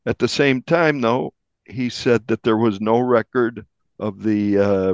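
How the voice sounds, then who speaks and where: A man speaking as a journalist guest, explaining deliberately in an interview and restating points for emphasis. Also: lowish voice, no room sound, lo-fi sound.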